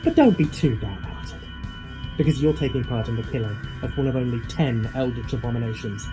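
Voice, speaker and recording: A man's voice: mid-range voice, expressive, a little fast, natural voice, rough recording. An actor playing a reckless, obsessive, flippant monster hunter in an audio drama, wordy and wry in his theatrical delivery. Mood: grimly defiant. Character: reckless, obsessive male eccentric hunting a monster. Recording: music behind the voice.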